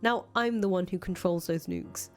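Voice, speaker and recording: A woman's voice: lowish voice, moderate pace, pitched below the performer's own voice, lightly acted. A woman voicing a brash, grandiose young revolutionary leader in a visual novel, foul-mouthed, boastful and emphatic. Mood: angry, boastful. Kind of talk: visual novel character voice reading. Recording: music playing behind the voice.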